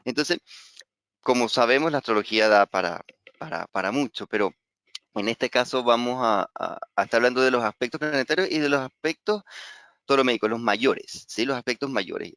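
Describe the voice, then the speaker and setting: A middle-aged Venezuelan man lectures in a clear, resonant voice, stopping often to restart words and check in with his listeners. The sound is dry and close, with almost no room around it. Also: mid-range voice, fairly bright tone, no echo. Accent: Venezuelan Spanish. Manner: hesitant, many fillers and repeated words, frequent tag "¿sí?". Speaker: astrology teacher. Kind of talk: webinar lecture.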